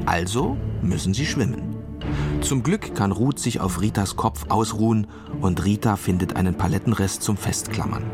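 A man's voice: on the low side, lively intonation, moderate pace, music in the background. A man narrating a scripted children's audio story.

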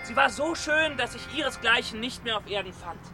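An excitable fairy-tale collector in a children's radio play. His voice sits high, with a flustered, halting and coaxing manner. Music plays clearly behind him. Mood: storytelling. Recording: music under the voice.

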